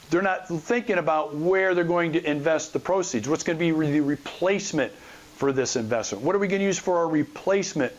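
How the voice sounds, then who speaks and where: A man hosting a podcast gives informal, blunt investor advice, repeating phrases for emphasis and asking rhetorical questions. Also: high-pitched. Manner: blunt, colloquial. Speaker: podcast host and real estate investor. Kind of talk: informal advice monologue to listeners.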